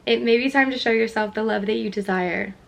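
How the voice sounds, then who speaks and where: Young woman vlogging, bit stuffy and sniffly, higher voice, open and self-questioning. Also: fairly quick, gravelly, a bit bright. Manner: candid, self-questioning, trailing off. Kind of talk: reflective casual vlog talk.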